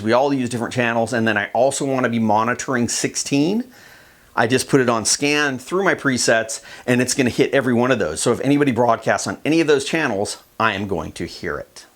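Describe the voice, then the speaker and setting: A man giving a casual, plain-spoken instructional demonstration in a conversational way. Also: medium pitch. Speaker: kayak fishing instructor.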